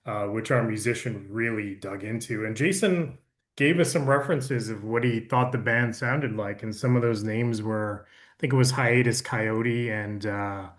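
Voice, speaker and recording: A man's voice: fairly low pitch, normal speed. A man, an audiobook producer, casually explaining his work in an interview. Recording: echoey, roomy sound.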